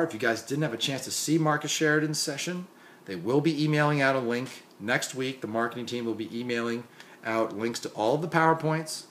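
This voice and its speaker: Hoarse, tired young man vlogging. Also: medium pitch, slight background noise. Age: young adult. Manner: chatty, filler-heavy shout-outs. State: hoarse and exhausted. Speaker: marketing consultant. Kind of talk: casual conference recap vlog. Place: small dim room indoors.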